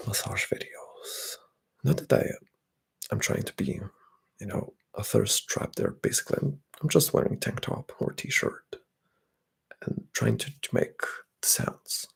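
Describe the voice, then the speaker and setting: Gravelly, breathy whispering man. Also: mid-range voice. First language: Bulgarian. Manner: soft-spoken, drifting into whispers. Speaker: ASMR streamer. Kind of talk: ASMR livestream chat, reading comments.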